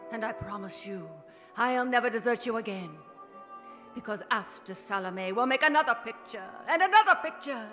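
An actress playing an aging, deluded silent-film star with theatrical, halting grandeur in a radio drama. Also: female voice, medium pitch, lightly acted. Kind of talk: 1950s radio drama acting. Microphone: vintage-style stand microphone on stage. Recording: live theatre stage, long hall reverb.

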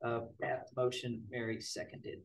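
A board chair running a city meeting in a folksy, relaxed way, with many filler words. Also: mid-range voice, measured pace, vocal fry. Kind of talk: formal meeting procedure mixed with casual remarks. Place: city council chamber. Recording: distant mic in a meeting room.

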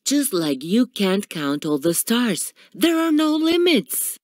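A woman voicing a loving, calm old grandfather in a children's storybook, with a gentle, patient manner. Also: fairly high pitch, slow, no echo, studio quality, pitched above the performer's own voice. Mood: calm, wise.

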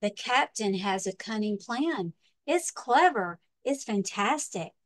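Children's story reader: woman, fairly high pitch, measured and emphatic delivery, expressive narration. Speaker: librarian reading aloud.

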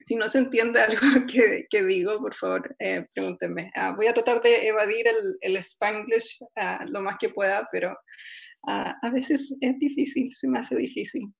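A woman's voice: mid-range voice, unhurried, creaky. A young woman with a Chilean accent giving an online physics lecture over a video call, chatty and self-deprecating, with many fillers and restarts. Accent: Chilean Spanish. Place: home room, indoors. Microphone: laptop webcam video call.

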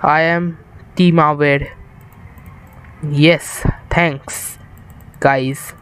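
A man's voice: on the high side, fairly even intonation, drawn-out words, fairly bright tone, clean tone. A young man from India chatting casually with viewers while streaming a game, rambling and tired, on an improvised setup. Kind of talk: casual live-stream chat while gaming.

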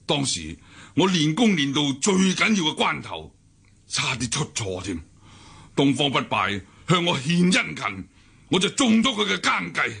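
An actor playing a proud, brooding older deposed martial-arts cult leader in a Hong Kong Cantonese radio drama, declaiming and sighing theatrically. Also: male voice, medium pitch, gravelly, fairly bright tone, breathy edge, full character voice. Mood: regretful. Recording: music bed behind the voice.

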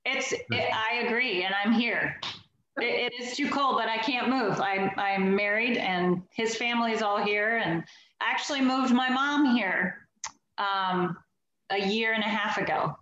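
A woman's voice: fairly high pitch, measured pace, fairly bright tone, heavy reverb, muffled recording, slight background noise. A woman in her forties, originally from Alabama, chatting upbeat as a guest over a video call from her home loft. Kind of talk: casual video call interview.

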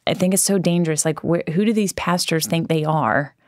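A woman's voice: low-pitched, a little fast, no room sound, studio quality. A woman hosting a faith podcast, talking through her views in an earnest, conversational way with fillers and restarts. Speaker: podcast host.

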